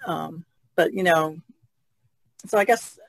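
A woman's voice: low voice, slow pace, creaky, dry recording. A woman artist chatting informally on a podcast, tentative in how she puts things. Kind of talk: casual podcast chat.

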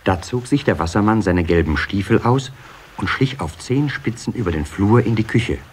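A man narrating a children's fairy tale as a measured, expressive storyteller in an audio play. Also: lowish voice, a little hiss or hum, natural voice. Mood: storytelling.

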